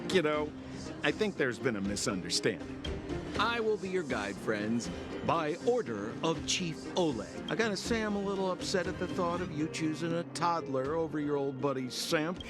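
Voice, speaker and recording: An actor playing a smooth-talking young trickster guide in a video game, playful and showy. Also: male voice, fairly high pitch, animated, moderate pace, lightly acted. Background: game music.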